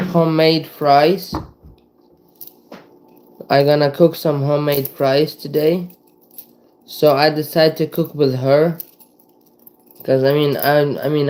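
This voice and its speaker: A young man from Ukraine with a Ukrainian accent, chatting casually to viewers on a live stream, rambling with halting restarts and lots of "you know". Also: a little high, darkish tone. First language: Ukrainian and Russian.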